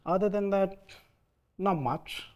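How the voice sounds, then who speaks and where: Man, 30s, Nepali accent, hesitant, slightly high voice, clear tone. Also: takes it slowly. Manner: soft-spoken, hesitant, frequent ums. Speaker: interviewee. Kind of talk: oral history interview.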